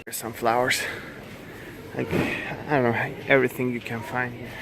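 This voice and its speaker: A young man in his twenties with a Turkish accent, filming a casual vlog on his phone as he walks through a supermarket, talking haltingly with many fillers and self-corrections. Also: mid-range voice, little pitch movement, very noisy. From Turkey. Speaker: vlogger. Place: large supermarket indoors. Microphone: handheld phone camera. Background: store ambience.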